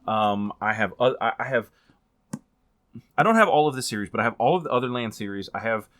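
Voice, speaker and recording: A man talking casually as a book reviewer in a rambling, informal way that gets animated on points of emphasis. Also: mid-range voice, fairly quick, no echo, studio quality. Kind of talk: casual chatty book vlog.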